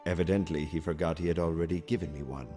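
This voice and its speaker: Guy doing calm alien navy officer, super deep, formal n calculating, sci-fi comic dub. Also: music in the background, natural voice. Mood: dry, composed. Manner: measured, formal, precise wording. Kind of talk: dramatic comic dub acting.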